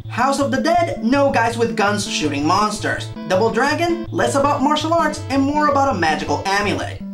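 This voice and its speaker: A man hosting a comedic scripted rant as a video game movie reviewer, exasperated, emphatic and sarcastic. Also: very high-pitched.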